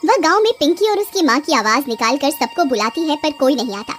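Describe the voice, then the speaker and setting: A woman's voice: high voice, lively intonation, brisk. A woman narrating a scripted story as storyteller. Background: music.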